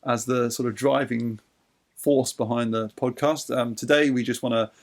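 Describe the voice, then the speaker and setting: A man's voice: medium pitch, fairly even intonation, moderate pace. A man hosting a podcast, introducing his co-host in a friendly, hesitant way with many fillers. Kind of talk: casual podcast interview.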